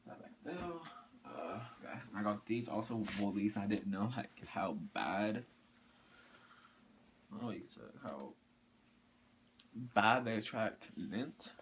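A teenage boy talks through his new clothes and sneakers in a bedroom, up close to a camera mic. His voice sits slightly low for a guy, and he rambles, stopping and restarting often. Faint clicks and noise sit behind him. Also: fairly bright tone. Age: teens. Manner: rambling, halting, many fillers and restarts. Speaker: sneaker vlogger. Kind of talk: casual haul video. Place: bedroom, indoors. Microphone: camera or phone mic up close.

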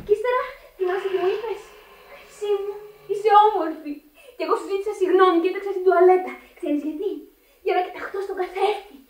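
A woman's voice: very high voice, a little mellow. An actress playing an eager, lovestruck teenage girl in a Greek radio drama, girlish and impulsive. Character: eager, lovestruck teenage Italian-immigrant girl, pleading daughter. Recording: roomy, distant old radio recording.

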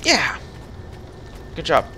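A man's voice: extremely slow, bright, forceful tone, slight background noise. A man casually chatting while co-op gaming, thinking aloud and guiding his partner. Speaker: let's play host. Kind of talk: casual co-op gameplay chat.

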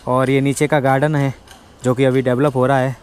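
A man's voice: medium pitch, little pitch movement, a little fast, a little mellow, a little hiss or hum. A man in his thirties from India, chatting casually as he guides viewers through his apartment on a handheld phone. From India, raised in Rajasthan. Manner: chatty, guiding viewers room by room. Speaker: vlogger. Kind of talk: casual house tour vlog. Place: apartment, indoors.

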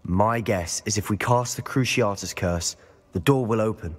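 Teenage wizard boy, male actor, lowish voice, determined, urgent, long reverb, video game. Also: moderate pace, lightly acted. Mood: grave, resolute. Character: determined, intense teenage schoolboy wizard drawn to dark magic. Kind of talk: dramatic video game voice acting. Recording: reverberant stone hall, game music.

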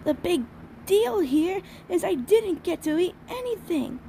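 A woman's voice: very high-pitched, expressive, measured pace, dark tone, slight background noise, heavily acted. A woman voicing a brash, hot-tempered little filly in an amateur cartoon dub. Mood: grumpy.

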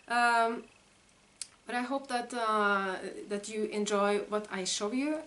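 A woman's voice: medium pitch, fairly even intonation, slow pace, a bit bright, low-quality recording. A woman in her forties with a Norwegian accent, a knitting vlogger talking casually to the camera at home, hesitant and often searching for words. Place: living room at home.

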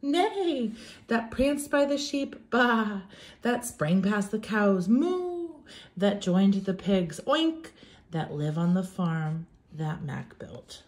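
A woman's voice: medium pitch, big pitch swings, takes it slowly. A young woman teacher reading aloud for young children in an emphatic, theatrical, sing-song way.

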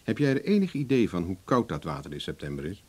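A man's voice: low-pitched, huge pitch swings, fast, breathy edge, exaggerated voice. A man playing a cool, wry private investigator in a radio drama, with a dry manner. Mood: cool, guarded.

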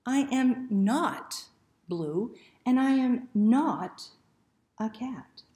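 A woman's voice: on the high side, animated, drawn-out words, warm tone, pitched above the performer's own voice. A woman voicing an indignant, easily flustered male dog from a picture book, with emphatic protests. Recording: roomy indoor echo.

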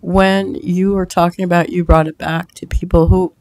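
Male school board president; gravelly, somewhat high voice, brief questions. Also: little pitch movement, normal speed, dry recording. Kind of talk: meeting questions. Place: large school board meeting room.

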